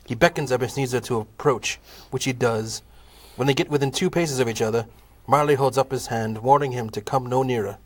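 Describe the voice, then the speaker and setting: Guy narrating a comedy audio play, normal pitched voice, formal storybook reading, trips up now and then. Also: fairly quick.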